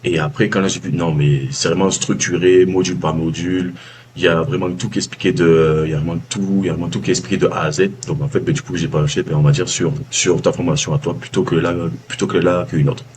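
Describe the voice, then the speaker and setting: A man with a Southern French accent, a former baker giving a casual testimonial, halting with many fillers and restarts. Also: sits low, fairly even intonation, noisy background. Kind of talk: casual video-call testimonial. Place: calling from abroad, early morning.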